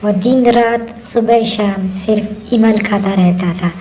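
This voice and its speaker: Woman storyteller reading out a spooky story, takes it really slow. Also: medium pitch, steady tone, mellow, slight background noise, poor audio. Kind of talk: scripted Hindi horror story narration.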